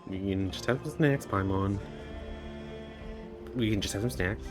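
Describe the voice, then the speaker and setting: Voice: low-pitched, unhurried, over music. A game streamer giving casual commentary and reading dialogue aloud while playing.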